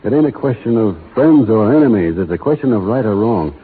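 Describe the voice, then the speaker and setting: Laconic cowboy hero, low rough voice, muffled old radio. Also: male voice, expressive, a little fast. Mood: grave, firm. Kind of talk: 1940s radio western acting.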